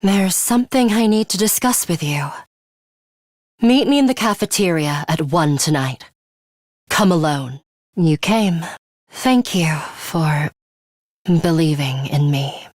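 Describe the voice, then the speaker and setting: Video game role: composed, coolly ruthless young female student fighter, deliberate slow delivery, dry studio sound. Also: low voice, animated, a little mellow, dry recording, exaggerated voice. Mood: cool, reserved. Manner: measured, serious, deliberate. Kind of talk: English video game character voice lines.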